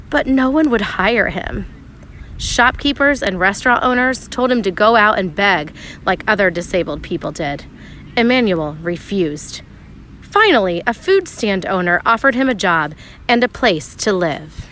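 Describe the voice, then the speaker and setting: Animated woman reading a storybook aloud. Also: mid-range voice, slight background noise. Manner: measured storytelling with dramatic pauses and emphasis. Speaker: teacher reading a picture book aloud. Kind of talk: expressive storybook read-aloud narration for children.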